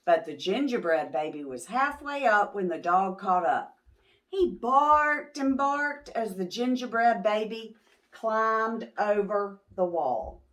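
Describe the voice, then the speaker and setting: Woman, animated swooping pitch, slow pace, children's storytime host, expressive, questioning. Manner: expressive, pausing to ask young listeners questions. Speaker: children's read-aloud host. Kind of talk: animated picture-book storytelling for young children.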